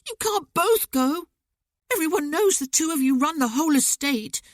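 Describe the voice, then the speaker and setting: A narrator voicing a hot-tempered teenage boy who protests and pleads in a raised, high voice in an audiobook. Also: lively intonation, normal speed, dry recording, clean studio sound. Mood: distraught. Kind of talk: audiobook character voice.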